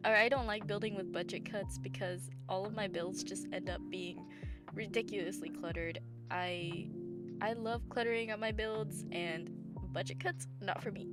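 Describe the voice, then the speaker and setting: Hoarse, gravelly woman. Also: mid-range voice. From Southern United States. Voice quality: scratchy, gravelly voice. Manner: rambling, filler-heavy, self-correcting. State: hoarse after bronchitis. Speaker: game builder and channel host. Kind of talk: casual voice-over commentary over gameplay. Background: background music.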